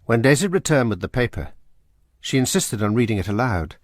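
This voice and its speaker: A man narrating a radio drama in a measured, grave manner. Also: medium pitch, big pitch swings, no room sound, studio quality. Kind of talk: scripted narration.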